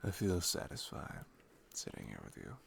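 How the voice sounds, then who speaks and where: A man playing an affectionate, playful boyfriend in an ASMR roleplay, talking softly and intimately. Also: rumbling low, big pitch swings, vocal fry, slight background noise, pitched below the performer's own voice, heavily acted. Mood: tender, content. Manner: soft, unhurried, intimate, chuckling. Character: affectionate, playful, sleepy-morning boyfriend, cuddly and sweet.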